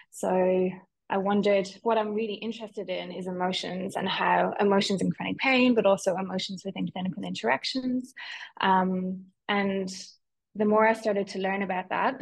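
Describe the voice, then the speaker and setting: An Irish woman from Ireland, a guest on an interview podcast, speaking in a reflective, softly hesitant way. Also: mid-range voice, gravelly, poor audio. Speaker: guest, physiotherapist and PhD student.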